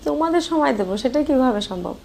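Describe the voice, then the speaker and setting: A woman's voice: high-pitched, animated, fast, mellow. A woman with a Bangladeshi accent playing a flirtatious, lonely married sister-in-law in an amateur romantic phone-call audio drama. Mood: coy, teasing. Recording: clear background noise.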